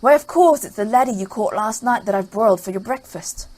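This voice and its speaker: A woman voicing the ogre's fussy wife in a chiding, quick manner in a storybook reading. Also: medium pitch, animated, darkish tone, lightly acted.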